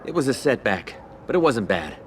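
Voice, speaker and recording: An actor playing a confident, controlling mafia don in a video game cutscene. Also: male voice, mid-range voice, sing-song, brisk, a little hiss or hum, lightly acted. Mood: assured.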